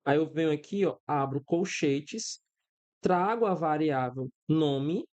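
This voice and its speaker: A man's voice: fairly high pitch, takes it slowly, darkish tone, highs cut off. Patient Brazilian man teaching code. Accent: Brazilian Portuguese. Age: 30s. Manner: patient, step-by-step explaining with everyday examples; checks in with listeners often. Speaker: programming instructor. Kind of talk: screen-recorded coding lesson.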